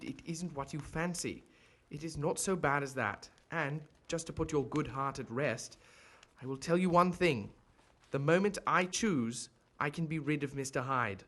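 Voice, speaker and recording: A man acting in an audio drama as a genial but guarded Victorian gentleman doctor, speaking in formal, courteous, earnest phrases. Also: on the high side, breathy edge. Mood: grateful, reassuring.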